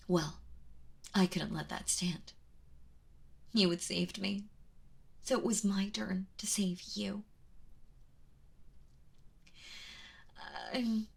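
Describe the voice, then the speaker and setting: A woman playing a kind but obsessive, protective yandere in an intimate ASMR roleplay, speaking haltingly and often dropping toward a whisper. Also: mid-range voice, steady tone, full character voice. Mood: tender, determined. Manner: hesitant, halting, breathy with drops into whispering.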